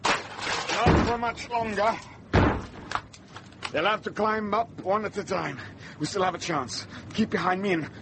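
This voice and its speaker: A man's voice: sits high, measured pace, long pauses. A man acting in a radio drama as a brave, commanding rescuer who protects and urges on a young boy. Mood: urgent. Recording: roomy, very noisy radio drama scene.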